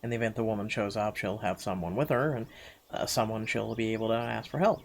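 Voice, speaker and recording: A man reading a visual novel aloud as first-person dramatic narration, halting now and then over the words. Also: fairly low pitch, fairly even intonation, vocal fry. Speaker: game player reading the text aloud.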